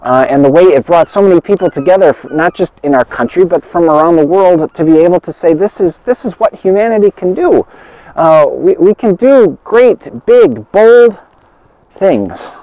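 A middle-aged man preaching a video sermon outdoors, earnest and halting, restarting phrases and rising in emphasis. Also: high-pitched, expressive, mellow, no room sound, low-quality recording. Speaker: pastor. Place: outdoors by a snowy lakeshore in winter.

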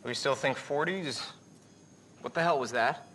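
An actor playing an uneasy junior morgue worker with wry quips in a video game cutscene. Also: male voice, medium pitch, measured pace, a bit bright, a little hiss or hum, close to an everyday voice.

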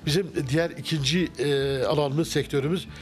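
A middle-aged man, a restaurant owner, answering interview questions in a relaxed, halting way with many fillers and restarts, inside a wooden-walled restaurant. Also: on the high side. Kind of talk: casual interview. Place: wood-paneled restaurant interior. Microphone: handheld mic held by interviewer.

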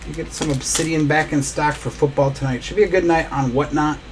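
A man's voice: on the high side, fairly even intonation, fairly quick, noisy background. A man hosting a live trading card break, opening packs and rattling off player names as he goes. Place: small indoor studio desk.

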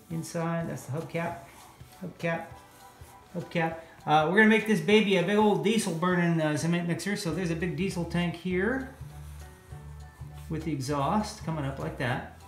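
A middle-aged man with an American accent gives a casual, playful, folksy step-by-step drawing lesson for children. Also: a little high. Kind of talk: casual step-by-step art demonstration. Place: indoor room, at a drawing board. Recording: roomy echo with music underneath.

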